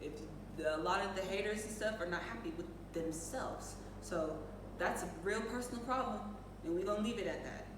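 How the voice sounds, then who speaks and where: A woman's voice: medium pitch, a little fast. A woman co-hosting a show in casual conversation, readily agreeing and backing up the points being made. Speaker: show co-host. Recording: echoey hall, distant mic, clear noise.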